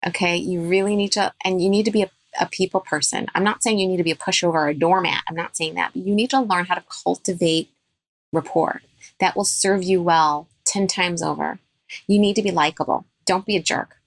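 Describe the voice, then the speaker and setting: Lower-voiced woman giving firm advice. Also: steady tone, a little fast, dry recording, low-quality recording. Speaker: dentist guest. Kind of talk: podcast interview.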